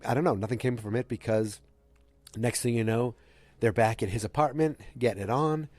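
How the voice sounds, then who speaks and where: A man's voice: mid-range voice, normal speed, darkish tone, no room sound, soft background music. Stuffy-voiced man with a cold. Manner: rambling, loose, with many fillers and restarts. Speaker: podcast host. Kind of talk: casual solo episode recap.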